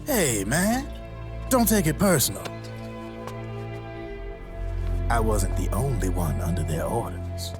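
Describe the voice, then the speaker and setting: An actor playing a slick, jaded gun launderer in a video game cutscene, with slangy, folksy showmanship. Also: male voice, lowish voice, big pitch swings, normal speed. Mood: sly, teasing. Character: slick, jaded male gun launderer, former child soldier. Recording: loud music under the voice.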